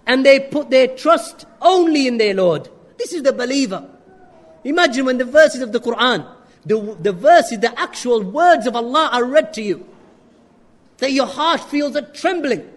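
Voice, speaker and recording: A man preaching an earnest sermon with rhetorical questions and quick Arabic recitation. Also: very high-pitched, in a large hall. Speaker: Islamic preacher.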